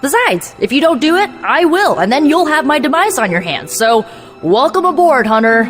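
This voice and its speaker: A woman's voice: high-pitched, very animated, normal speed. Brash young game sorceress, casual n sly, pushy talker, music behind her. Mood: urgent, pushy. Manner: casual, sardonic. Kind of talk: video game voice acting.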